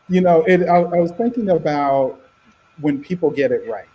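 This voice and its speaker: A man speaks on a comics panel in an easy, laid-back manner. His voice is somewhat high and husky, and he laughs often and keeps asking "right?" as he talks. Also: measured pace, highs cut off. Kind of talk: casual panel discussion.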